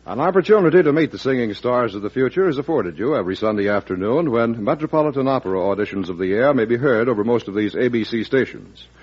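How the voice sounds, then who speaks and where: A male radio announcer reads a scripted promotion in a polished, formal vintage style. His voice is husky and lively in pitch, on a muffled old broadcast. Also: fast talker, hardly any pauses.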